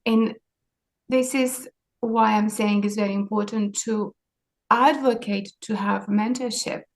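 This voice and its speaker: A woman in her forties with a Romanian accent, answering interview questions in a reflective, hesitant way. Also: mid-range voice. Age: 40s. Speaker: interview guest, maritime sustainability leader.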